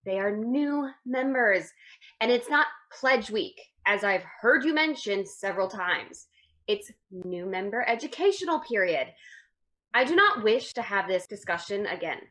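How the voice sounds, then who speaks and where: A woman plays a strict young sorority officer in a dramatic reading. She is formal, firm and pedantic, in a medium-pitched voice. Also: a bit bright, poor audio.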